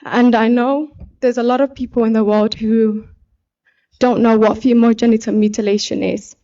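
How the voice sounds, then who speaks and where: A young woman from Somalia giving a personal testimony speech as an activist, speaking in an earnest, deliberate way. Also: on the high side, steady tone, warm tone, highs cut off. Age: young adult. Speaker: pageant contestant and activist speaker.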